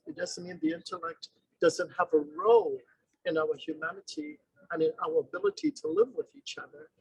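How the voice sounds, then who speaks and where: Gravelly, lively-voiced Englishman speaking outdoors. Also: sits high, no room sound, poor audio. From born in Guyana, raised in England. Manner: earnest, rhetorical questions, thoughtful pauses. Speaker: summer program teacher. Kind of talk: online presentation of his class.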